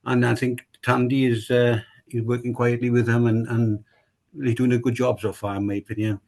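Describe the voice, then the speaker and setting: A man's voice: medium pitch, flat delivery, normal speed, a little mellow. Rambling Welsh club chairman. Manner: rambling, repeats himself, many fillers. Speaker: rugby club chairman, guest. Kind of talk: casual podcast interview.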